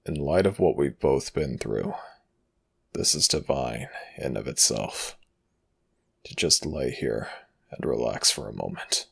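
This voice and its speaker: Ancient egyptian god for asmr, super slow and raspy, protective, formal, murmured right to you. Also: male voice, rumbling low, animated, full character voice. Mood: tender, content. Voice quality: gravelly, breathy rasp. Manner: slow, hushed, formal archaic phrasing. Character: ancient Egyptian death god, tender protector, quietly vengeful. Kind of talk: ASMR audio roleplay, intimate murmured acting.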